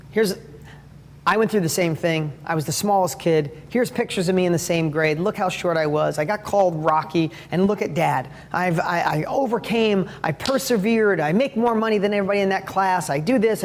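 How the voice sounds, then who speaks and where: A middle-aged man with a high-pitched voice, giving a motivational talk and telling stories with enthusiasm and acted-out quotes. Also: long reverb tail, a little hiss or hum. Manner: enthusiastic storyteller, acts out quotes. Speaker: speaker on sales and parenting.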